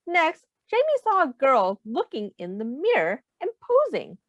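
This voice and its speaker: A woman reading a children's storybook aloud in an expressive, simple teacher's manner, pausing to put questions to young listeners. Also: high-pitched, no room sound, muffled recording.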